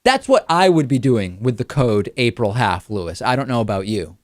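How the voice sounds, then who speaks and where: A man's voice: mid-range voice, very animated, normal speed, no echo, studio quality. A man hosting a talk show, presenting in a conversational way and leaning on key phrases for emphasis. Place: studio.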